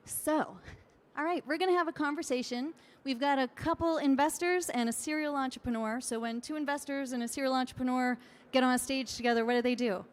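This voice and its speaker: A woman with a slightly high voice steers a panel discussion. She is friendly and directive as she turns to each guest with questions. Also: steady tone, a little fast. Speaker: panel moderator. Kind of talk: moderating a panel.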